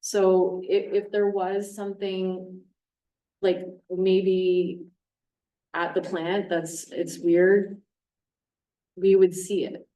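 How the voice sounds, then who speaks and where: A woman's voice: mid-range voice, level tone, drawn-out words, pauses often, mellow. Matter-of-fact woman official, distant echo. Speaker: chief administrative officer. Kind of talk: answering at a council meeting. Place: council chamber. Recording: echoey council chamber from far off.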